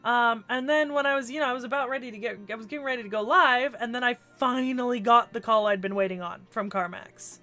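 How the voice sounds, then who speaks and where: A woman on a game livestream, stuffy and congested from a cold, talks in a slightly higher voice than most. She rambles casually, restarting sentences and swearing now and then, with faint game music underneath. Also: fast talker, hardly any pauses, pushed and bright. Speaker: streamer playing a video game. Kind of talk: casual livestream chat while gaming.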